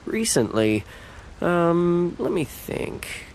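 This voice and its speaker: A man's voice: sits high, very animated, drawn-out words, a little mellow, a little hiss or hum, full character voice. A man playing a weary, humble island fisherman in a video game, plainspoken and reflective. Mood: weary, relieved. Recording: faint ambience behind the voice.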